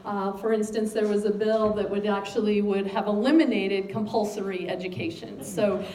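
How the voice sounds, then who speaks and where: A woman's voice: medium pitch, steady tone, normal speed. An American woman, a school superintendent, giving a public update to a civic gathering with many ums. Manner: frequent ums, speaks of having a loud voice. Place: meeting room. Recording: echoey room, distant mic, faint noise.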